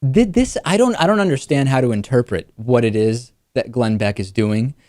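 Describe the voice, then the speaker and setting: A man's voice: medium pitch, normal speed, a little mellow, no echo, studio quality. A man hosting a political talk show, giving conversational commentary.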